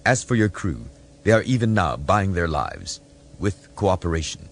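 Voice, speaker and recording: A man playing a shrewd, commanding starship captain in an audiobook, speaking with measured authority. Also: deep voice, big pitch swings, creaky, heavily acted. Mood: pressing, probing. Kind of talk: audiobook character voice.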